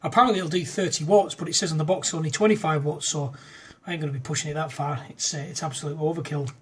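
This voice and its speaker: A man's voice: fairly high pitch, steady tone, fast, breathy edge. A man in casual amateur radio chat, matey and full of radio jargon and call signs. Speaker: amateur radio operator making a demo video. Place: indoors at a desk in a front room.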